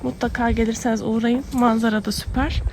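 A woman's voice: on the high side, level tone, fairly quick, a little mellow. A woman chatting casually to viewers as a travel vlogger. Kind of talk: casual vlog chat to viewers. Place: outdoors, on town streets. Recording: noisy outdoor recording, street ambience.

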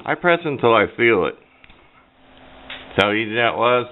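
A man's voice: medium pitch, sing-song, normal speed. A folksy, plain-spoken man giving a casual hands-on tutorial at a workbench, picked up by a camera microphone. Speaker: DIY radio hobbyist demonstrating. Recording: muffled phone-like audio, background noise.

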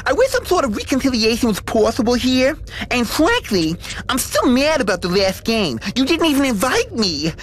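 An actor voicing an apologetic, mock-sincere monstrous mutant in a video game. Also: male voice, extremely high pitch, huge pitch swings, normal speed, a bit bright, slight background noise, full character voice, rough recording. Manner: mock-sincere, bouncy delivery. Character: polite monstrous male mutant, apologetic, hungry.

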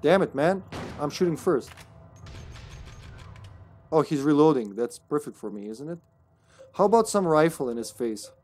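A man's voice: fairly high pitch, animated, normal speed, pauses often, darkish tone, soft background music. A man in his thirties with a Serbian accent, joking and laughing through casual live gameplay commentary. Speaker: streamer.

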